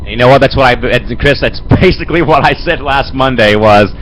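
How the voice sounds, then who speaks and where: A young man with a head cold and a raspy voice, hosting an amateur sports show and rambling with lots of fillers. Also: medium pitch, fairly quick, a bit bright, slight background noise, rough recording. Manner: rambling, fills with "man" and "Chris". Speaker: host. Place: home studio.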